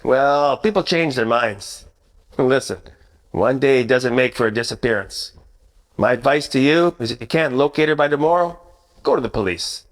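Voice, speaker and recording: An actor playing a wry, world-weary 1940s private detective in a parody of old radio noir. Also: male voice, mid-range voice, animated, normal speed, lightly acted. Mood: skeptical. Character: wisecracking hard-boiled 1940s male private detective. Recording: echoey stage or hall reverb.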